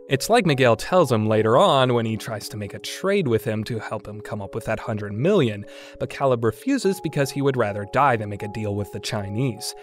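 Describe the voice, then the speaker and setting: A man hosting a solo review podcast, talking casually and getting animated when he is excited. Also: mid-range voice. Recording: music playing underneath. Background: guitar music.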